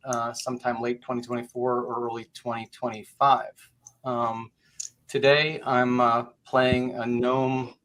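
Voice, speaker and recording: Mid-pitched man, casual panel guest. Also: a bit bright. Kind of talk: casual self-introduction.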